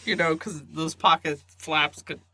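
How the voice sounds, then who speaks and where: A young woman co-hosting a casual podcast, playful and quick to laugh, breaking into funny voices. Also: deep voice, steady tone, background noise. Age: young adult. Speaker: podcast co-host. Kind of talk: casual chat.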